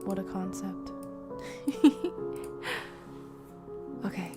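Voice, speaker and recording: A woman streaming a game, chatting playfully with viewers and often dropping into hushed whispered asides. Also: medium pitch, animated, extremely slow, over music. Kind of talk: casual live-stream chat while playing.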